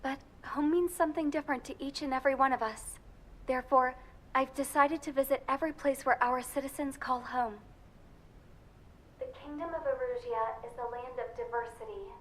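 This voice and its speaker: Actress as high-voiced, poised young royal woman. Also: steady tone, normal speed, a little hiss or hum, close to an everyday voice, rough recording. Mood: earnest, poised. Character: earnest young royal woman addressing her kingdom. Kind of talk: scripted public speech in a game cutscene.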